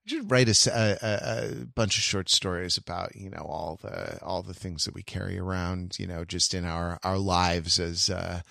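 Low-voiced man, halting podcast host. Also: steady tone, gravelly, fairly bright tone, no room sound, studio quality. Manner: halting, rambling, thinking aloud. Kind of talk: casual podcast conversation.

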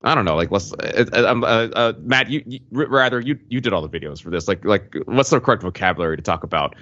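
A man in casual discussion as a podcast co-host, halting as he searches for words. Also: fairly low pitch, animated, a bit bright, dry recording, lo-fi sound.

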